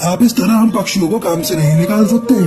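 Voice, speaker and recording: A woman's voice: mid-range voice, a little fast, lightly acted. A woman voicing a male bird in a children's cartoon story, with a firm, declarative delivery. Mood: defiant. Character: male bird, worker, husband or boss, in cartoon. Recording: music bed behind voice.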